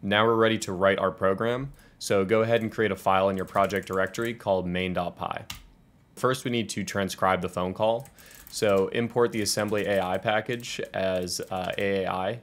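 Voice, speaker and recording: A man in his twenties with an American accent presenting a software tutorial in an explanatory, step-by-step way. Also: low voice, fairly even intonation, normal speed. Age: 20s. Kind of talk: scripted software tutorial walkthrough.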